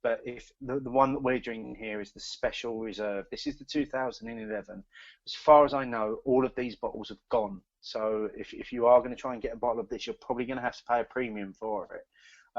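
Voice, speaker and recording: A man's voice: on the low side, no echo. A man co-hosting a beer podcast, rambling casually with lots of ums and restarts. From East Anglia, England. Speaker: podcast co-host. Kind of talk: casual beer review chat. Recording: dry dull lo-fi podcast recording.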